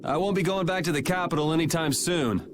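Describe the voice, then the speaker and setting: A man's voice: on the high side, a little fast, noisy background, lightly acted. A man playing a cocky, laid-back young rebel swordsman in an anime video game. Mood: resolved, casual.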